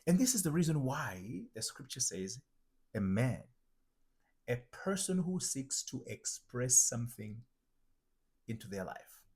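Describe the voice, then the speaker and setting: A man's voice: medium pitch, big pitch swings, a little slow, long pauses, a little mellow, husky. A man from Tanzania, an identity transformation coach, giving a reflective motivational talk that circles back and restates its points. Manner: reflective, repeats and restarts phrases while building a point. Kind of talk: motivational teaching monologue.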